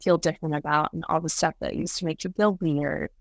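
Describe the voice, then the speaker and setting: A woman with a slightly low voice hosts a podcast in a loose, conversational way. She fills her sentences with "like" and swears casually. The sound is dry and close. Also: fairly even intonation, fairly quick, creaky, no echo. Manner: chatty, peppered with "like", swears casually. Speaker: podcast host.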